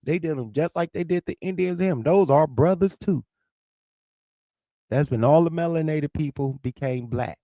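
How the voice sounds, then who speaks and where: A man's voice: on the high side, normal speed, mellow, no echo. A man from St. Louis with a lisp and a local accent, hosting a livestream and arguing with commenters in the chat in a profane, confrontational way. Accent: St. Louis, American South Midwest. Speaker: livestream host. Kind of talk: heated livestream rant, answering chat.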